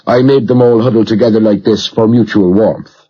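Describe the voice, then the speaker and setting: A man's voice: fairly low pitch, steady tone, a little fast, warm tone, husky, lo-fi sound. Man acting a jovial Irish doctor, rich brogue. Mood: brisk, concerned. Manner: jovial, says "old boy" often. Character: short, chubby, good-humoured Irish doctor, quick and jovial. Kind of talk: audiobook character dialogue.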